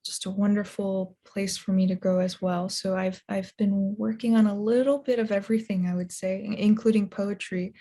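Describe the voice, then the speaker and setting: A woman author and translator answering interview questions in a thoughtful, measured way. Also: medium pitch, mellow.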